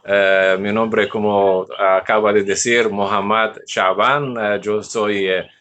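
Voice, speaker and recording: A middle-aged man from Jordan, answering interview questions in a relaxed way with frequent fillers. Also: lowish voice, slow. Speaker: guest. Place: mosque.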